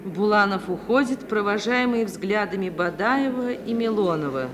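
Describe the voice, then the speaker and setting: A woman's voice: medium pitch, expressive, normal speed. A woman narrator reading scripted stage directions for a 1950s Russian radio play. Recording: faintly hissy 1950 radio recording.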